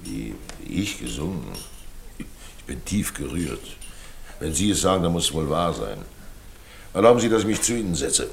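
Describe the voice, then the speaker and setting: An aging former stage player in a radio drama. The voice sits deep, wry and self-mocking, with weary theatrical resignation. Faint noise lies behind it on the old recording. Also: male voice, big pitch swings, normal speed, vocal fry, long pauses, exaggerated voice. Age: older. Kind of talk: naturalistic radio drama acting.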